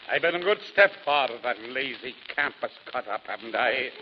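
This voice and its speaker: A man's voice: medium pitch, lively intonation, moderate pace, lightly acted. A man playing a hammy, pompous rich older husband in a 1940s radio comedy, theatrical and full of flowery endearments. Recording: dull old radio recording, faint hiss.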